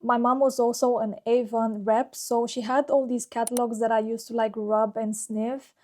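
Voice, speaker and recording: Mellow-voiced woman chatting about her life. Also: a little high, flat delivery, normal speed. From Romania. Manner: chatty and fond, with frequent "like" fillers. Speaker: fragrance YouTuber. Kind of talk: casual personal vlog talk.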